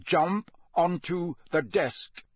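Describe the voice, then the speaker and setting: A man voicing a gruff, commanding old wizard teacher in an audiobook, growling and barking out forceful orders. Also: high-pitched, drawn-out words, dry recording, voice pushed up, heavily acted. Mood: insistent.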